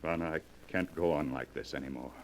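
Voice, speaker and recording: Tormented husband, low voice, earnest 40s radio melodrama, slightly noisy old recording. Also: male voice, brisk. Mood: resolved. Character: tormented, exasperated husband recounting his nightmare marriage. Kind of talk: 1940s radio melodrama acting.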